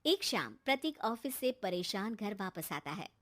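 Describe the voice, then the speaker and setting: A woman's voice: mid-range voice, big pitch swings, a little slow, a bit bright. A woman narrating a scripted cartoon family story as the storyteller.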